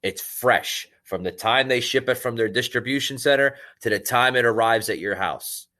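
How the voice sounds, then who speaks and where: A man reading a podcast sponsor ad, upbeat and salesy. His voice is bright and forward, at an ordinary middle pitch. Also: normal speed. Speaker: host reading an ad. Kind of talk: host-read sponsor ad.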